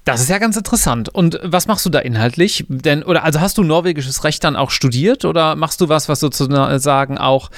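Male podcast interviewer, slightly higher voice, dry close recording, conversational. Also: a little fast, hardly any pauses, dry recording. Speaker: podcast host.

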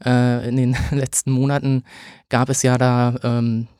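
A man in his thirties, a lawyer answering interview questions in a hesitant way, with many filler sounds and pauses. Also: mid-range voice, flat delivery, a little mellow, smooth, dry recording, studio quality. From Germany. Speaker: podcast guest, lawyer.

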